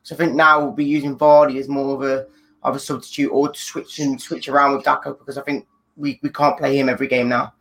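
A man's voice: medium pitch, little pitch movement, quick pace, a bit bright, poor audio. A man joining a casual fan chat on a video call, thinking aloud and restarting his sentences often. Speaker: guest fan.

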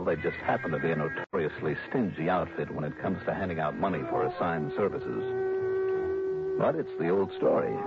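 A man playing a brisk, wry freelance insurance investigator in 1960s radio drama style. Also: deep voice. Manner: wry, assertive. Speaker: lead actor and narrator of a detective radio series. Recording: noisy, dull old radio recording.

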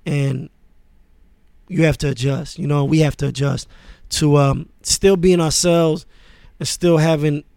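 Laid-back man with a higher voice. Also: unhurried, creaky, smooth, dry recording, studio quality. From born in France, raised in Queens, New York. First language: Arabic. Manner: relaxed, laid-back, with many fillers. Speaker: guest, music manager. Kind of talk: casual interview conversation.

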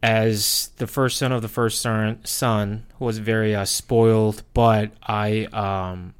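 A man with a Korean accent and native Korean, telling personal stories casually in a halting, reflective way, searching for words. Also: on the low side, flat delivery, smooth. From South Korea. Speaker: podcast host.

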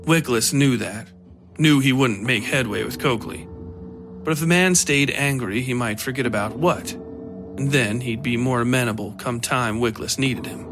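A man reading scripted dark fiction as the narrator of an audio story. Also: medium pitch, moderate pace. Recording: music under the voice.

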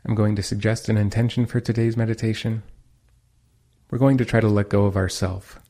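A man leading a guided meditation as its teacher, giving calm, unhurried instructions. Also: on the low side.

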